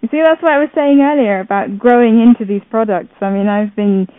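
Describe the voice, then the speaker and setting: A woman's voice: a little high, fairly quick, no echo. Woman from Zambia on a tinny phone line. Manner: chatty, laughs easily, explains patiently. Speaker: Braille teacher and radio presenter. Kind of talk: casual group chat on a call.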